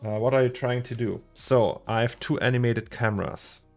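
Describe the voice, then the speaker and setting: A man's voice: medium pitch, fairly even intonation, moderate pace, a little mellow, soft background music. A man with a German accent streaming his 3D work, chatting casually, thinking aloud and chuckling often. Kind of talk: casual livestream chat while working.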